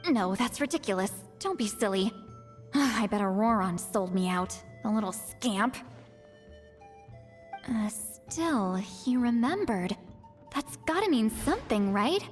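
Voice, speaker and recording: A sassy, proud young female seer from a video game. Her high voice swoops expressively, brash and teasing. It carries a long reverb with music behind it. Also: expressive, slow. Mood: flustered. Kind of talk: animated video game cutscene acting.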